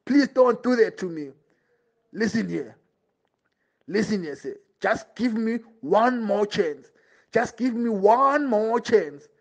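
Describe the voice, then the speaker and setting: A man's voice: sits high, lively intonation, takes it slowly, gravelly, full character voice. A man playing a servile, desperate henchman in an amateur audio drama, deferential and repeating himself. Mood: desperate pleading. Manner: pleading, repetitive, deferential. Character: servile, desperate henchman grovelling to his boss.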